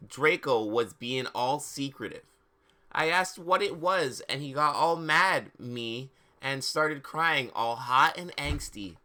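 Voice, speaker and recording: Man, live streamer, slightly high bright voice, slower pace, slangy and halting, dramatic reading with joking asides.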